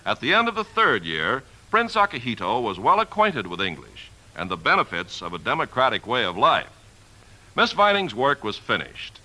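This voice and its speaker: A male announcer in old-time radio style, reading polished copy formally. His delivery is extremely animated and forceful, at a medium pitch. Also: normal speed, rough recording. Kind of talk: 1950s scripted radio narration.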